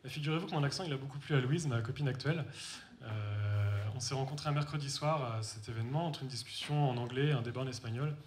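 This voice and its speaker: A man's voice: mid-range voice, fast. A young man from France telling a comic personal story on stage, wry and self-mocking, talking straight to the audience. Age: young adult. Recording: echoey hall with faint noise.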